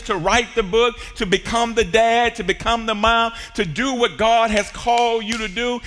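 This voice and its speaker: High, bright-voiced man preaching in a hall. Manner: preaching with rising shouts and call-and-response. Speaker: pastor. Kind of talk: sermon. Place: large church auditorium stage. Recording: long hall reverb.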